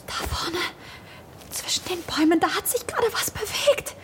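A woman playing a girl detective in a children's mystery audio drama, teasing and easily hushed, with an animated, breathy delivery. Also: extremely high pitch, moderate pace, a little hiss or hum. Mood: frightened, hushed.

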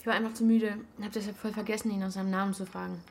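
An actress playing a guarded, standoffish young student in a naturalistic radio drama, with clipped, casual replies. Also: female voice, mid-range voice, level tone, rapid-fire, a little mellow, close to an everyday voice. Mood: guarded, hurried. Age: young adult.

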